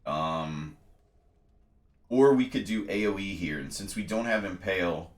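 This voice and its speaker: A man's voice: sits low, animated, measured pace, roomy sound. A congested man methodically explaining a video game as he plays, talking through each choice with frequent ums. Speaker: let's play host. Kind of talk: casual gameplay commentary and tutorial.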